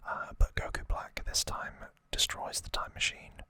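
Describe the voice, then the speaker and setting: A man softly whispering an ASMR recap in a breathy, unvoiced voice, with an unhurried, halting delivery and pauses. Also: no echo. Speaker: ASMR host.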